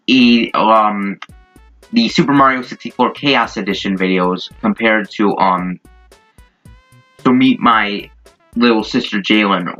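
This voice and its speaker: Bright-voiced young man, casual storytime. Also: mid-range voice. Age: young adult. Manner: rambling, informal, mildly profane. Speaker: YouTuber telling a story about the channel. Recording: dull lo-fi mic. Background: background music.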